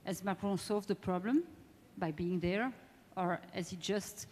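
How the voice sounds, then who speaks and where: A woman with a French-speaking Belgian accent, speaking halting non-native English as she moderates and introduces a live conference panel. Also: mid-range voice, a little mellow. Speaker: debate moderator. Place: large indoor conference hall, amplified. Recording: long echo of a conference hall.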